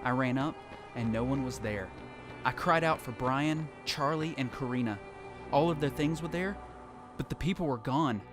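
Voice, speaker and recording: A man's voice: medium pitch, normal speed, close to an everyday voice. A man playing a lone apocalypse survivor who tells his story in earnest, dramatic first-person narration for an audio drama. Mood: uneasy, panicked. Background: music.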